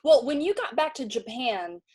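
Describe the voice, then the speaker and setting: A woman's voice: high voice, very animated, normal speed, darkish tone, slightly rough. A woman hosting a casual interview, asking long, enthusiastic questions with a few "uh" fillers. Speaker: interviewer, video director.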